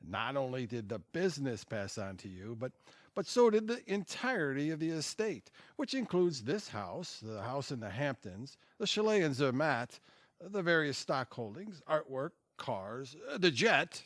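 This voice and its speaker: A man playing a formal, apologetic family lawyer in an audio drama, speaking in measured, polite phrases. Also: mid-range voice, big pitch swings, a bit bright, dry recording, clean studio sound. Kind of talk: audio theatre acting.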